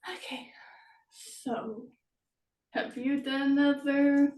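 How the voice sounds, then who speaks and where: Woman author, casual interview chat, high-pitched and halting, recorded in an echoey room. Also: little pitch movement, darkish tone. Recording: roomy echo, distant from mic.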